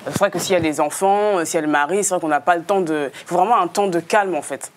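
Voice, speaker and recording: A woman's voice: lowish voice, fast, noisy background. A woman guest in a radio talk show discussion who talks hesitantly, restarting her sentences again and again. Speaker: studio guest.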